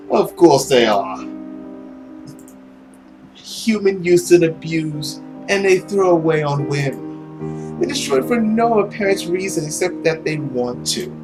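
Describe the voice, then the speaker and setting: Here is a gloating, centuries-old villain from a visual novel, acted out by a man. The voice swings widely and sits a little high, savoring words with arrogant, theatrical mischief. Music plays clearly behind it. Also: very animated, heavily acted, rough recording. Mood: gloating, defiant. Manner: theatrical, arrogant, drawn-out delivery with sudden emphasis.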